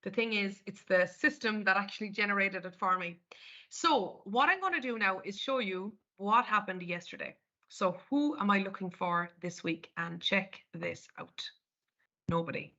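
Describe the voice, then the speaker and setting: A woman's voice: mid-range voice, moderate pace, sharp tone. A woman with an Irish accent presenting a live market webinar, chatting her way through each screen step by step. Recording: dull lo-fi webinar audio.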